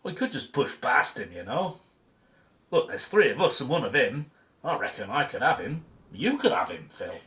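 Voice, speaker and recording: A man's voice: medium pitch, very animated, moderate pace, pushed and bright. A man in an audio drama playing an easygoing, wryly sceptical archaeologist with an informal, blokey manner. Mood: cocky. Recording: noisy ambient background.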